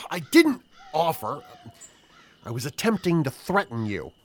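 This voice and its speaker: A man acting an imperious, slow-talking villainous professor. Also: fairly high pitch, big pitch swings, a little hiss or hum. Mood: exasperated. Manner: condescending, menacing, drawn-out questioning. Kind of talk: audio play acting.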